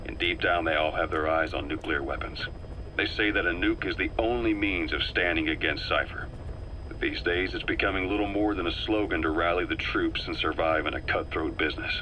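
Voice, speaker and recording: A man playing a hardened, wry mercenary officer giving a deliberate, emphatic briefing in a video game. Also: sits low, bright, forceful tone, a little hiss or hum, rough recording. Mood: grave, pointed.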